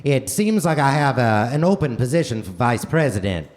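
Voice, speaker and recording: Man doing a halting, swaggering Boston-accented president. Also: mid-range voice, sing-song, close to an everyday voice. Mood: grateful, statesmanlike. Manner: halting, peppered with drawn-out ers and uhs. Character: heroic swaggering American president, folksy and hesitant, JFK parody. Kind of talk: comedic live radio play acting. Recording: noisy live theater stage recording.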